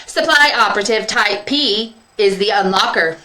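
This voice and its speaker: Woman doing a high, literal-minded warehouse robot. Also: normal speed, bright, forceful tone, echoey room, close to an everyday voice, rough recording. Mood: flat, literal. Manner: stiff, literal robotic recitation. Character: seed distributor, literal-minded low-class warehouse robot.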